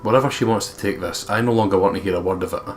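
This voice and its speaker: A man's voice: on the low side, very fast, faint music behind. A man with a Scottish accent, reading game narration and chatting as a let's play co-host. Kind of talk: reading game narration aloud and casual commentary.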